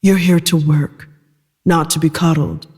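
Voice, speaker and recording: A man's voice: fairly high pitch, fairly even intonation, a little mellow, husky. A man voicing a frosty, imperious woman boss. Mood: cool, dismissive. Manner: frosty, unhurried, dismissive. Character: cold, imperious female corporate boss, dry and menacing. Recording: long reverb, music behind.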